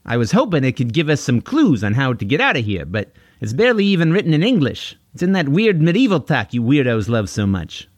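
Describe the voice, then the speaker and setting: A man's voice: medium pitch, huge pitch swings, brisk, few pauses, dry recording, pitched above the performer's own voice. A man voicing a bossy, sarcastic girl, blunt and impatient, for a children's audiobook.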